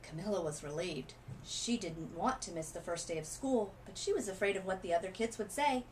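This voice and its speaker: A woman reading a children's storybook aloud as a literacy coach, with expressive emphasis and dramatic pauses. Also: on the low side, slight background noise, poor audio.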